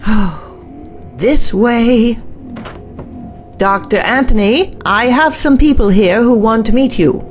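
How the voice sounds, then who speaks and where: A woman's voice: on the high side, slow pace, long pauses. Woman acting a stern, defiant scientist, music behind. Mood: tense, protesting. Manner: stern, dismissive. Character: defiant, secretive female scientist, stern project head. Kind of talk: audio drama acting.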